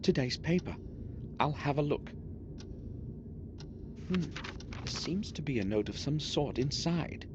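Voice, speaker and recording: Measured game protagonist, muffled sound. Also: male voice, on the high side, animated. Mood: observant. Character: protagonist observing an old castle aloud. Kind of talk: scripted video game narration. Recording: muffled, very noisy game audio.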